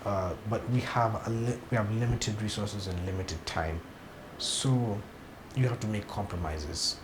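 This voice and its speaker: A man's voice: lowish voice, unhurried. A man from Kenya speaks bluntly and emphatically in a sit-down interview. His voice carries a roomy echo with a faint hiss of background noise behind it. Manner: frank, emphatic, blunt opinions. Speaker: TV series director.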